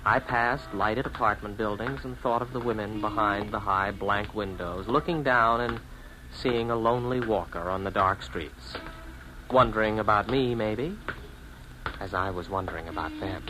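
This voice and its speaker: A man's voice: lowish voice, normal speed, bright, forceful tone, slight background noise, poor audio. An actor with an American accent playing a lonely city man in a 1950s radio drama, narrating his own awkward romance. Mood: wistful, reflective. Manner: hesitant and awkward in dialogue. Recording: vintage 1950s radio broadcast, music behind.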